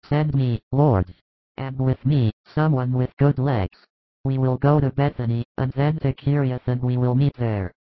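Male reader as an insistent follower begging his master in a religious story; mid pitch, phone-like audio. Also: fairly even intonation, unhurried, no echo, natural voice. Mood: enthusiastic. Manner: insistent, pleading. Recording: thin phone-like band, dry.

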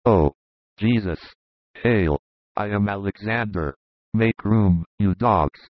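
A man reading aloud and voicing a rough, desperate Roman soldier in a lower, harsh voice. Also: slow pace, dry recording. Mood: angry. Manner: harsh, insulting, urgent. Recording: dull lo-fi dry recording.

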